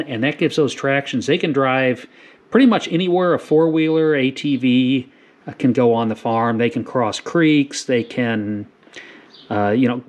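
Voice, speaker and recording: A middle-aged man hosting a farm channel, explaining a do-it-yourself project in a relaxed, rambling way with lots of fillers, filmed in a garage on an action camera. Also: medium pitch. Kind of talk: casual how-to video narration. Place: garage, then outdoors on a farm lawn.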